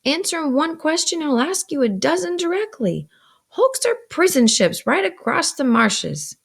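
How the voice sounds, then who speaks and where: Woman putting on a snappish, high-pitched scolding older sister. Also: big pitch swings, unhurried, creaky, exaggerated voice. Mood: exasperated, scolding. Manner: snappish, emphatic, exasperated.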